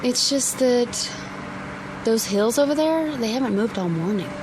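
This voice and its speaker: An actress playing an uneasy, questioning wife on a road trip in a radio drama. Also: female voice, fairly high pitch, expressive, measured pace. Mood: uneasy, doubtful. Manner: doubtful, questioning. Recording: clear car road noise.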